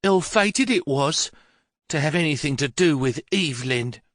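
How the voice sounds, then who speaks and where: A man voicing a frail, furious old mother who is petulant, shrill and quavering, then pleads in a childish way. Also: expressive, unhurried, fairly bright tone, dry recording, clean studio sound. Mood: pleading. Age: older.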